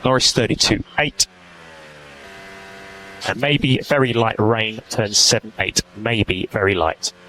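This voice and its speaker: A man's voice: fairly low pitch, slow, long pauses, pushed and bright. A man working as a race engineer, giving clipped, procedural instructions over team radio. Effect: team radio transmission. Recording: noisy team radio feed.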